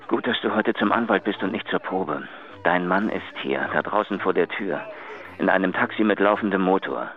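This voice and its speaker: An actor playing a furtive, smug secret lover leaving a confidential, mocking phone message in a German radio drama. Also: male voice, low voice. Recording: tinny phone line, faint music behind.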